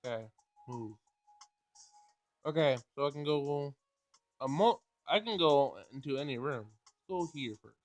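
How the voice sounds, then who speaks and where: Guy doing a casual let's play, slightly high voice, thinking out loud, game music behind him. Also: lively intonation, unhurried, long pauses. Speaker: gaming video host. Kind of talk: casual let's play commentary and read-aloud game text.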